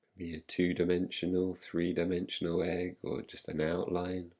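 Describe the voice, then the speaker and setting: A man guiding a meditation in a calm, gentle, unhurried manner, leaving long pauses between phrases. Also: low voice. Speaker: meditation guide. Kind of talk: guided meditation, yoga nidra.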